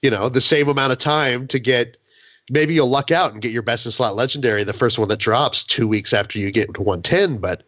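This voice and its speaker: A man's voice: medium pitch, fairly quick, fairly bright tone, no room sound, highs cut off. A man in a casual gaming podcast discussion, speaking in an emphatic, opinionated and hyperbolic way. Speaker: podcast panelist.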